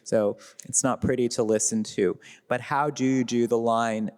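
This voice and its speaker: A man's voice: mid-range voice, steady tone, a little mellow, smooth. A man with a Midwestern American accent, a literary translator speaking thoughtfully on a festival panel and laughing lightly as he goes. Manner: thoughtful, laughs lightly, slows down when reading lines aloud. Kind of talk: reflective panel talk. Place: festival event hall. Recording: long hall reverb.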